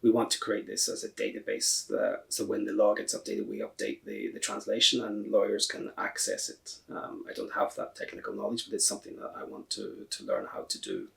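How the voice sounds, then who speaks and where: A man with a Northern Irish accent giving conversational answers as an interview guest, his voice creaky and gravelly. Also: on the low side, very animated, a little fast, hardly any pauses. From Northern Ireland. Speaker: podcast guest, translator and association chair.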